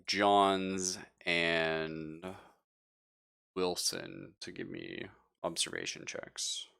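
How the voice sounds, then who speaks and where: A man's voice: deep voice, little pitch movement, very slow, creaky, sharp tone, clear, resonant tone. A man narrating a tabletop roleplaying game as game master, casual and joking as he improvises.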